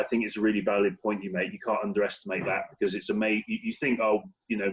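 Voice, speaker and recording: A man's voice: fairly low pitch, breathy edge, dull audio. A man who owns a business joins an online webinar to share his own experience, stumbling and repeating words as he talks earnestly. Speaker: business owner attendee.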